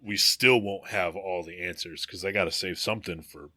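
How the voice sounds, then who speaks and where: A man's voice: low-pitched, moderate pace, pushed and bright, no echo. A man co-hosting a casual podcast, speaking earnestly, often in short agreeing bursts. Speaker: podcast co-host. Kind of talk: casual chat.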